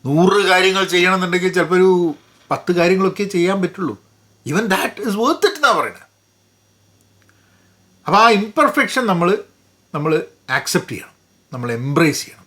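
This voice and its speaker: A man's voice: sits high, lively intonation, brisk, pauses often, bright, forceful tone. A man with a Malayali accent giving a casual, explanatory book review, mixing in English phrases and repeating words for emphasis.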